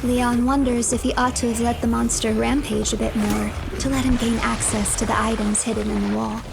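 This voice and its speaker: A woman streamer narrating a game story in a measured, formal, scripted read-aloud style. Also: on the high side, little pitch movement, creaky, very noisy.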